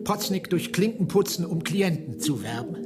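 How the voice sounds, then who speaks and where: An actor playing a dogged, ironic editor turned amateur sleuth in a German radio drama, hesitant when pressed. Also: male voice, sits high, lively intonation, moderate pace. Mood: wary, ironic.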